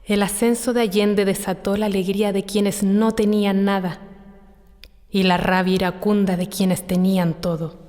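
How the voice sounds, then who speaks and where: A woman gives a measured, scripted dramatic political narration for a game intro. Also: mid-range voice, big-room sound. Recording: narration under loud music. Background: loud music.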